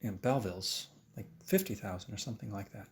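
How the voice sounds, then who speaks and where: A man talking casually in an interview as a teacher looking back on his work, with an expressive way of telling it. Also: on the low side, quick pace. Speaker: private violin teacher. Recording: roomy, distant mic, faint noise.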